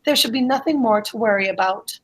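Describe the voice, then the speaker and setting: Woman reading a husky, brusque bachelor gentleman. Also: on the high side, fairly quick, lightly acted. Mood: placating. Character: Higgins, brusque, dismissive middle-aged bachelor gentleman. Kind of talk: amateur readers' theatre play reading.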